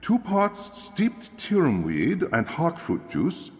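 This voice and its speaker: An actor playing a friendly, chatty innkeeper and eager drink inventor in a fantasy video game, theatrical and animated. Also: male voice, a little high, takes it slowly, full character voice. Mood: attentive coaching. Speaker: innkeeper giving instructions. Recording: long reverb, music behind.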